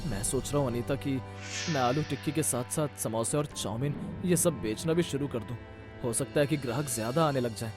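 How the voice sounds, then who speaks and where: A man's voice: medium pitch, a little fast, over music, close to an everyday voice. A man voicing a humble, hardworking street vendor and devoted son in a cartoon story, earnest and polite. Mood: troubled resolve.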